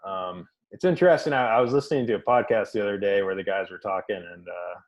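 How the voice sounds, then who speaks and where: A man hosting a podcast, talking in a relaxed, chuckling way with lots of hesitant fillers. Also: on the low side, fast, muffled recording. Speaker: podcast host. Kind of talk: casual chat.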